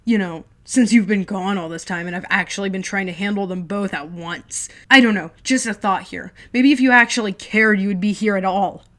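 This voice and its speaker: A woman's voice: medium pitch, moderate pace, hardly any pauses, natural voice. A woman voicing a hurt, defiant younger brother, a teen or young man who talks back in heated bursts. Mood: furious.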